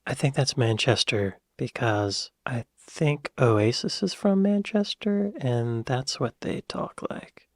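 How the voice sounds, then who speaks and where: American guy reacting, excitable then muttering, dry close mic. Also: medium pitch, slow pace, dry recording. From United States. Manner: chatty, excitable, drops to muttered asides. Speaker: reaction video host. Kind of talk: casual reaction commentary.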